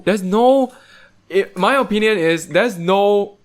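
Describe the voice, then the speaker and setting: A man's voice: sits high, slow. A young man from Thailand, hosting a casual podcast chat and rambling along with lots of fillers and restarts. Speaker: podcast host.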